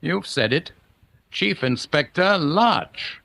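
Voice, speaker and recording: A man's voice: a little high, lively intonation, slow, gravelly, bright, forceful tone, heavily acted. A man acting a jovial, snobbish chief constable in a radio drama, breezy and clubby. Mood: confiding, wry. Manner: hearty, clubby, old-boy breeziness. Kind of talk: radio drama acting, one side of a phone call.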